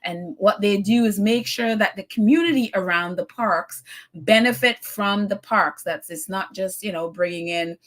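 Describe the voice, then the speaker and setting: A woman hosts a live stream, talking casually and warmly. She reads out viewer comments and recaps the news in a loose, effusive way, with plenty of fillers and laughs. Her voice sits at an ordinary middle pitch. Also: normal speed.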